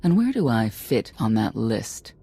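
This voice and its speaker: A very deep-voiced, heavily raspy hardened underworld woman. She is clipped, dry and coolly intimidating, a confident guide in a video game. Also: very animated, measured pace, mellow, full character voice. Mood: quietly threatening. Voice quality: very husky, raspy.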